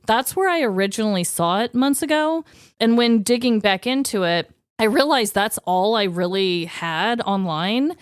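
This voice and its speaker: A woman with a smooth, clear voice of medium pitch, captured dry and close. She co-hosts a comedy crime podcast in an informal, reactive, chatty way. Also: expressive, moderate pace, dry recording. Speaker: podcast co-host. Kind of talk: casual true-crime comedy chat.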